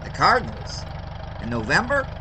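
High-voiced, gabby 1920s American cabbie. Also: male voice, wildly swooping pitch, normal speed, noisy background. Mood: chatty, curious. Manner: colloquial, slangy, rambling storyteller. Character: talkative 1920s Chicago cabbie, folksy baseball-loving yarn spinner. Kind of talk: audio drama acting.

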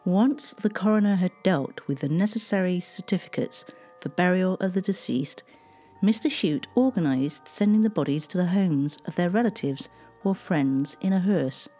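Solemn, mellow documentary narrator. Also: mid-range voice, expressive, soft background music. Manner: measured, solemn reading. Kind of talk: scripted historical narration.

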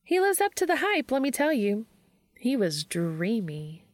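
Woman voicing an excitable young woman, pitched up. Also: unhurried, no room sound. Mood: gushing excitement. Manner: gushing, enthusiastic. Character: excitable, affectionate young woman, gushing friend. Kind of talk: audiobook character dialogue.

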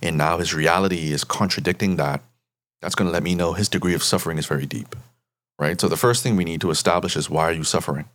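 A man from the Bahamas with a very low voice, talking as a therapist guest in an interview. He explains his points in a measured, patient way and keeps tagging them with "right?". The sound is close and dry, with little room echo. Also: a bit bright.

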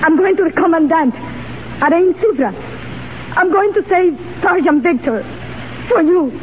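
A woman's voice: extremely high pitch, animated, measured pace. An actress in 1930s radio drama playing a proud, passionate young camp-following woman with a defiant, scornful manner. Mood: resigned, scornful. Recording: noisy, tinny 1930s radio broadcast.

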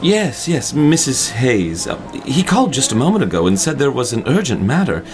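Guy doing a fussy formal male concierge, very up and down pitch, music playing behind. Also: sing-song, moderate pace. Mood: officious. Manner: polite, officious. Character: brisk, formal hotel concierge.

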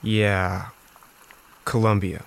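An evasive young man in a radio drama. He speaks low and slowly, in short hesitant replies that stay polite but awkward. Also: flat delivery, gravelly, full character voice. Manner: hesitant, curt. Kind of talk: audio drama dialogue.

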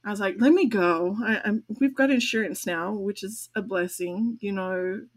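A woman's voice: medium pitch, moderate pace. An older woman, a grandmother and podcast co-host, telling a long personal story in casual chat, laughing often as she talks. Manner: chatty, laughs often while telling stories.

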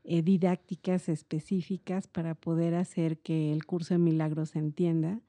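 A woman's voice: low-pitched, little pitch movement, moderate pace, mellow, no echo. A middle-aged woman with a Mexican accent, explaining things in a reflective, meandering and informal conversational way. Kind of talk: casual conversational explanation on a podcast.

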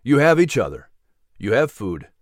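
A man voicing a calm, reassuring reverend with a lower, measured delivery in a storybook reading. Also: no echo, studio quality, lightly acted.

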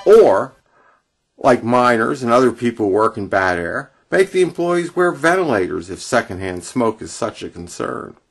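A man gives an opinionated scripted radio monologue. His pitch swings widely as he makes his points with wry emphasis. Guitar music plays behind him. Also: lively intonation, moderate pace, breathy edge. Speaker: radio presenter. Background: acoustic guitar music.

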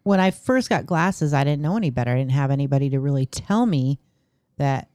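A woman chats casually as a podcast co-host, mostly with short replies and easy laughs. Her voice is mid-pitched, and the mic is dry and close. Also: animated, brisk, dark tone, no room sound. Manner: brief, laughing backchannel responses.

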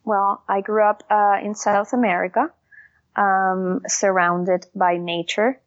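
A woman's voice: mid-range voice, flat delivery, slow pace, highs cut off. A woman from Venezuela with a Venezuelan Spanish accent, answering interview questions as a founder, speaking hesitantly with many fillers and restarts. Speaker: company founder and guest.

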